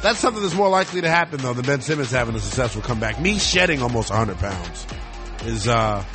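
A man's voice: mid-range voice, fast, music in the background. A man hosting a sports talk radio show from a studio, joking and boasting in playful banter. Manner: jokey, boastful, animated.

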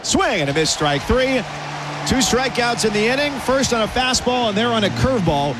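Guy calling the ballgame on radio, lively delivery, noisy ballpark booth. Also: very high voice. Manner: brisk, rising with the action. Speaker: play-by-play announcer. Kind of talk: radio baseball play-by-play.

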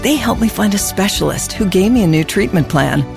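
Gravelly testimonial voice. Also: female voice, mid-range voice, expressive, fairly quick, music in the background.

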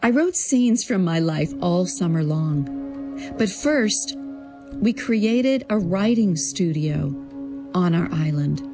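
A woman writer narrating her own memoir in an expressive, deliberate, literary way, acting out the dialogue. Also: lowish voice, music in the background. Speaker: creative writing teacher. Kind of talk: prepared personal storytelling, narrated memoir.

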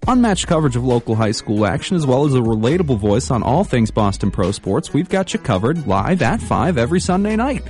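Lively man promoting a sports radio show. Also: medium pitch, a little fast, hardly any pauses, faint music behind. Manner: lively, folksy. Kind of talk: upbeat station promo.

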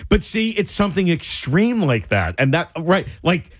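A man co-hosting a radio call-in show, emphatic and animated, his voice starting to go. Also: a little high, moderate pace, no room sound, studio quality. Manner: animated, emphatic, talks through restarts. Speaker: radio co-host. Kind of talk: lively radio call-in chat. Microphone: studio microphone.

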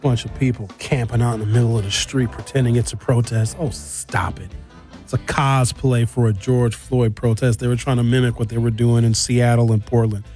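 A man's voice: mid-range voice, steady tone, normal speed, dark tone, soft background music. A man hosting a talk radio show, giving opinionated commentary and reading aloud in an emphatic, conversational, blunt manner.

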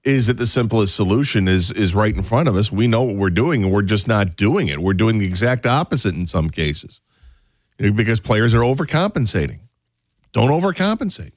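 A man's voice: on the low side, big pitch swings, a little fast, dry recording, studio quality. A man co-hosting a sports talk show, chatting casually about football and sometimes acting out a coach. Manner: conversational, acts out coaches with a raised voice. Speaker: sports radio co-host.

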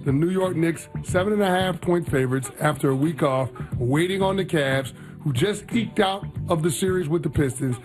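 A man's voice: on the high side, normal speed, few pauses, a little mellow, faint music behind. A man co-hosting a casual sports talk show, emphatic as he gives a betting pick.

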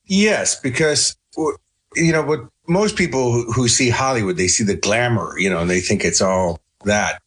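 A man's voice: mid-range voice, normal speed, fairly bright tone, breathy edge. A middle-aged man with a Chicago accent, a talkative, self-assured guest answering interview questions.

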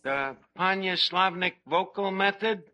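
A man's voice: high voice, unhurried, bright, forceful tone, dull audio, exaggerated voice. A man playing a brash, wisecracking tavern manager in a 1950s radio comedy. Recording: noisy old radio broadcast.

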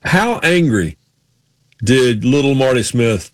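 A man's voice: mid-range voice, expressive, slow, no room sound. A man co-hosting a sports radio show, chatting casually and telling anecdotes in a self-deprecating way.

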